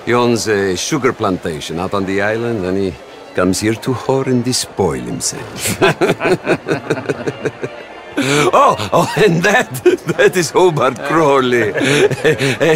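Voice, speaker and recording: A sneering, swooping Italian crime boss. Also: male voice, medium pitch, animated, takes it slowly, music in the background. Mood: gleeful contempt. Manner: sneering, mocking, laughs through his talk. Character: haughty, cackling Italian crime boss, gleefully contemptuous. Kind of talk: video game cutscene acting. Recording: clear background noise.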